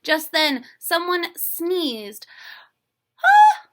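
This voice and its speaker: Bright-voiced woman reading aloud to children. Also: on the high side, slow, creaky. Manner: chatty, playful, expressive, exclaims and comments to young listeners. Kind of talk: animated story read-aloud with casual asides.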